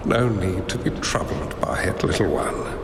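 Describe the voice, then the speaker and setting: A man voicing a wise hermit master in a video game, gentle, formal and measured. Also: deep voice, noisy background. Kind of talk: acted video game dialogue. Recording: reverberant space with music behind.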